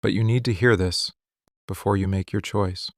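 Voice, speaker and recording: A man playing a weary, lovesick, possessive mafia boss in a scripted boyfriend ASMR roleplay, speaking intimately and deliberately in short phrases. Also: lowish voice, steady tone, no echo, studio quality, natural voice. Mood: restrained, resolute.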